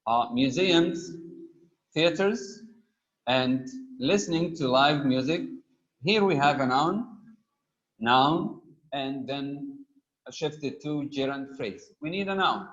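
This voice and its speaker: A man teaching a grammar lesson, reading example sentences slowly, stressing each item and pausing on frequent "uh" fillers. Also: medium pitch, lively intonation, a bit bright. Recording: dull lo-fi recording.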